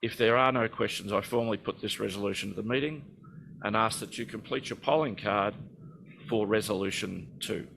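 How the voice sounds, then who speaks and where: A man with a very deep, gravelly voice takes over the chair of a formal meeting. His tone is somewhat husky, and he works through the vote figures in a relaxed way, with frequent ums and uhs. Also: fairly quick, low-quality recording. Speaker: director standing in as chair. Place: meeting room.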